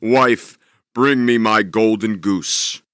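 A man voicing a huge, bloodthirsty male giant in a storybook reading, booming and menacing. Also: lively intonation, slow, sharp tone, no room sound, clean studio sound, full character voice. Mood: commanding.

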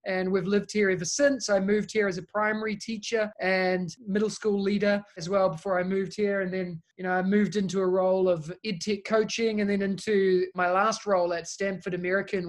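A man in his thirties from New Zealand with a New Zealand accent, a guest chatting casually in an interview about his consulting work, friendly and relaxed. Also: high-pitched. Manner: relaxed, friendly, talks in long lists. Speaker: guest, education technology consultant.

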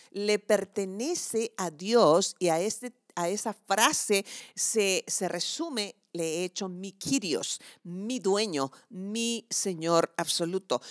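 Female devotional preacher. Animated pitch, reflective and emphatic delivery, conversational sermon style, dry sound. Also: no echo. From southern Chile.

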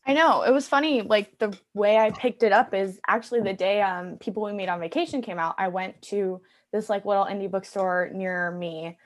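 A woman's voice: medium pitch, moderate pace, hardly any pauses. A bubbly woman hosting a podcast, chatting casually and laughing often. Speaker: podcast host. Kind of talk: casual chat.

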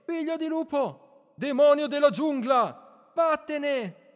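A man voicing an angry mob of superstitious villagers in a storybook reading, with strained, jeering shouts. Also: extremely high pitch, animated, takes it slowly, big-room sound, voice pushed up, heavily acted. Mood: hostile, frightened.

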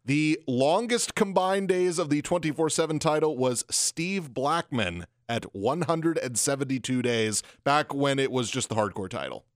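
A man's voice: a little high, expressive, normal speed, hardly any pauses, no echo, clean studio sound. A man co-hosting a wrestling podcast in casual chat, rambling through trivia in a wry tone. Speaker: podcast co-host. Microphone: studio microphone.

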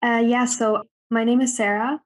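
Young woman from Germany as a guest, flat delivery, soft and hesitant. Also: on the high side, moderate pace. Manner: soft-spoken with many ums. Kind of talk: casual interview chat.

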